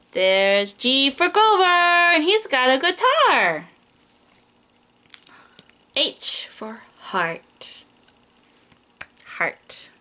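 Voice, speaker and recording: Bright, high-pitched woman reading to young children. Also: extremely slow, pauses often. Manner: animated, questioning, speaks directly to small children. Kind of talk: children's picture book read-aloud.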